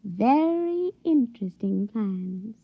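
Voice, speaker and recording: A high, dry-sounding young country woman with a rural American accent. She is folksy, possessive and lovestruck, a mind reader in a 1950s radio play, caught on a dull old recording. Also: big pitch swings, takes it slowly, husky, no room sound, heavily acted. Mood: tender, possessive. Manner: folksy, emotionally theatrical.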